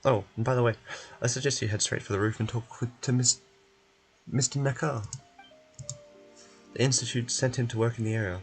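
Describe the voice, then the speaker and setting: A man's voice: medium pitch, steady tone, fairly quick, long pauses, lightly acted. A man voicing a fussy, wordy, coaxing male bureaucrat in a video game. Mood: brisk, businesslike. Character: fussy male bureaucratic clerk, pleased and pushy, nursing hurt leg. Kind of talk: video game character voice-over. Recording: music behind.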